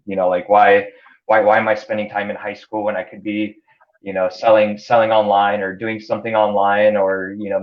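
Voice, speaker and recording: A man in his thirties, a Hungarian native speaker raised in the United States, talks about his life in a casual interview, stumbling over repeated words and fillers. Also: on the low side. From born in Canada, grew up in the United States. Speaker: interview guest, entrepreneur. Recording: dull lo-fi audio.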